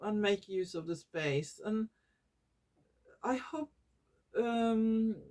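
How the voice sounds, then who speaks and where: A Norwegian woman vlogging in English, her second language, often pausing to search for words and correcting herself. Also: mid-range voice, a little mellow. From Norway. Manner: slow and searching for English words, many fillers and self-corrections. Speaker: YouTuber. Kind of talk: casual talking-head vlog.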